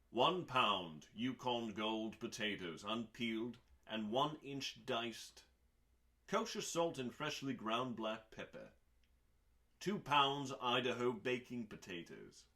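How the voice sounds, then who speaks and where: A man reading recipes aloud as a host, in a dramatic audiobook style with deliberate pauses and emphasis. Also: on the low side, bright, forceful tone.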